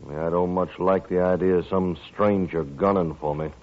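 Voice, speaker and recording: An actor playing a gruff, defensive, evasive frontier tough with folksy speech in a 1950s radio western. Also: male voice, sits low, steady tone, moderate pace, close to an everyday voice. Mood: uneasy evasion. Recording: dull vintage 1950s radio recording.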